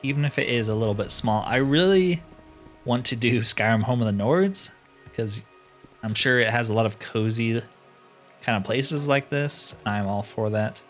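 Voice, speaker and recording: A man's voice: mid-range voice, moderate pace, faint music behind. A man doing casual gameplay commentary, thinking aloud and musing to himself as he narrates a role-play playthrough.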